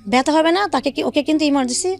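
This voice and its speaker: A woman's voice: high-pitched, racing. A woman with a Bangladeshi accent telling her own life story as an interview guest, in colloquial speech with quoted dialogue.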